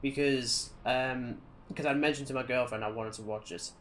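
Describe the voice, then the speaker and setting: A man's voice: medium pitch, steady tone, moderate pace, a bit bright, a little hiss or hum. A man co-hosting a podcast, chatting casually and giving wry, opinionated takes on films and games. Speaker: podcast co-host.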